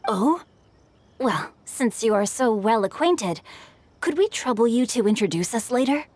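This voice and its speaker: A scholarly teenage boy from an animated game. The voice is fairly high, and the phrasing is florid, courteous and a little sly. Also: a little slow. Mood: polite. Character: bookish, mannered, theatrical teenage boy, teasing noble scholar.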